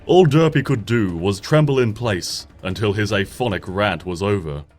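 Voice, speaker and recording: A man narrating a story from a script in an expressive, measured audiobook style, with music playing behind him. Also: fairly low pitch. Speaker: fan fiction reader, narrator. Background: background music.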